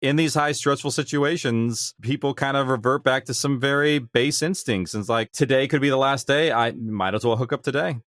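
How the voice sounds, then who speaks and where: A man's voice: mid-range voice, normal speed, hardly any pauses, a bit bright, dry recording, clean studio sound. A man being interviewed as a guest, talking conversationally about his creative work. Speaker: guest, audio drama creator.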